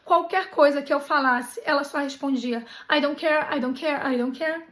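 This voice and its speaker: A middle-aged Brazilian woman, a travel channel host, retelling a bad bus trip in an animated, indignant way, with many restarts. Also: sits high, moderate pace. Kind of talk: personal storytelling vlog.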